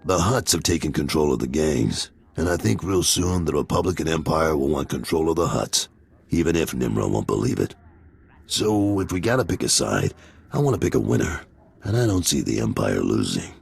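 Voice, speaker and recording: Very deep, gravelly gangster boss. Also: male voice, very animated, moderate pace, full character voice. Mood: brisk, confiding. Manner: gruff, frank, businesslike. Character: gruff, world-weary male gangster boss, middle-aged father. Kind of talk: video game voice acting.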